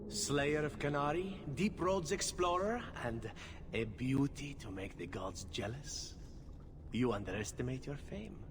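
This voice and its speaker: A man's voice: mid-range voice, animated, a little slow. A man playing a suave, flirtatious elf assassin in a video game, with a playful, theatrical delivery. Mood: charming, flattering. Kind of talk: video game character acting. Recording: noisy ambience, long reverb.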